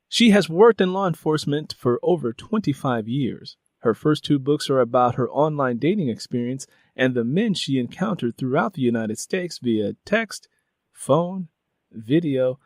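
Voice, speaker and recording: A man hosting an interview podcast, speaking in drawn-out, emphatic phrases. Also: mid-range voice, no echo, clean studio sound.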